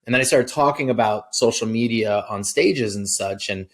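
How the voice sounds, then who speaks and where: A man being interviewed as a guest, telling his story in a relaxed way with many ums and uhs. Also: on the low side, normal speed. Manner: relaxed, filler-heavy, easygoing. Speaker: guest, social media agency founder. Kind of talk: casual interview chat.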